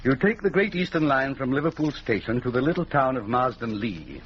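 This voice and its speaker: An actor playing a courteous, businesslike gentleman in a measured, formal 1940s radio drama style. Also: medium pitch, lively intonation, close to an everyday voice. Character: businesslike, courteous Englishman in a detective story. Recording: dull, noisy old radio recording.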